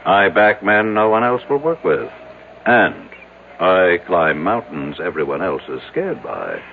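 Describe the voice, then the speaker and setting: An actor playing an arrogant, self-assured rich developer in an old-time radio drama, with a pompous, speechifying delivery. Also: male voice, sits low, big pitch swings, a little slow, creaky, exaggerated voice. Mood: boastful, probing. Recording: tinny, noisy vintage radio broadcast.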